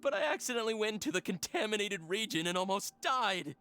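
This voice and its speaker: Frantic, stammering paranoid villager. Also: male voice, high-pitched, lively intonation, quick pace, sharp tone. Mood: frightened, suspicious. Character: panicky, paranoid villager with a rattled, unravelling mind. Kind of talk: English game voice acting. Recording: game music behind the voice.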